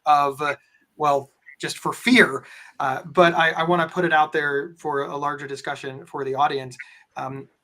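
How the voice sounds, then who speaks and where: A man with a big booming voice hosts a live online discussion. He rambles and repeats words as he works toward his questions. His voice sits a little higher than most men's. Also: flat delivery, normal speed, pushed and bright, rough recording. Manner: rambling with frequent restarts. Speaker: host. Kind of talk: live webinar moderating.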